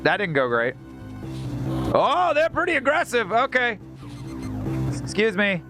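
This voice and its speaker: A man's voice: extremely high pitch, wildly swooping pitch, measured pace. A man live streaming a video game, chatting casually with viewers in a rambling, jokey way and reacting aloud as he plays. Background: video game music.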